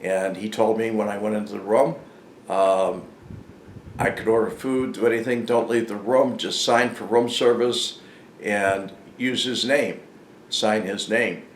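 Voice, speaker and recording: An older man telling a casual, unscripted story in a halting, rambling, plain-spoken way. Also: lowish voice, fairly even intonation. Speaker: storyteller, former informant hosting his own channel. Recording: echoey room with faint background noise.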